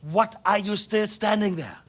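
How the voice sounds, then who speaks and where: A man playing a hot-tempered, imperious medieval king with a raspy voice in a video game cutscene. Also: sits high, measured pace, fairly bright tone. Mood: outraged.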